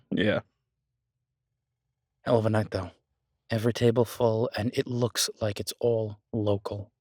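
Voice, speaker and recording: A man's voice: fairly low pitch, a bit bright, dry recording. Man acting a shy, affectionate chef boyfriend, dry sound. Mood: wry, gossipy. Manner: halting, self-deprecating, affectionate, chuckling banter. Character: Beau, warm, shy, flustered male chef and devoted boyfriend. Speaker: audio roleplay performer. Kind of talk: intimate boyfriend roleplay audio drama, conversational.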